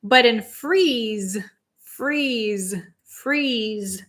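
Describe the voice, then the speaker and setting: A woman in her thirties from Moldova, a Romanian speaker, teaching English pronunciation on a live stream, patiently repeating words slowly and chatting casually. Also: a little high. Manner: relaxed and chatty with fillers.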